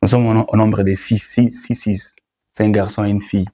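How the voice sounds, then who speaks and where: A man's voice: on the low side, little pitch movement, moderate pace, dark tone. A young man with a Congolese French accent answering interview questions, often repeating words and phrases. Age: young adult. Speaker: guest, gospel musician.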